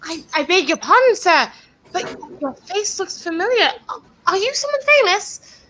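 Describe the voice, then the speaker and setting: A young woman plays a waitress in an old-time radio drama. Her voice is extremely high, and she is courteous and openly curious with customers. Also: big pitch swings, normal speed, bright, forceful tone, full character voice, poor audio. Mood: polite, curious. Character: polite, inquisitive waitress in a 1930s restaurant. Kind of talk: 1930s radio play acting.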